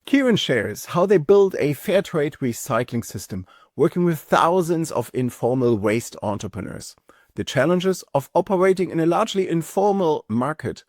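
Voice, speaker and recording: A man hosting a podcast interview, reading a lively intro and asking questions in a softer, hesitant way. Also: a little high, dry recording, clean studio sound. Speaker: podcast host.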